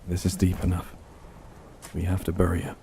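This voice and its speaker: A man's voice: sits low, moderate pace, warm tone, slight background noise. A man voicing a desperate, cold wife with an urgent, insistent manner in a horror story narration. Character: cold, desperate wife covering up her baby's death.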